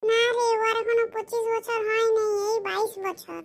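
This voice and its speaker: A performer plays a bragging cartoon villager with a squeaky, very high voice. He is smug and pleased with his own cleverness, and he spells out his schemes slowly. A faint hiss sits under the voice. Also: fairly even intonation, vocal fry. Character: boastful scheming village man, newly married. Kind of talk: cartoon comedy voice acting.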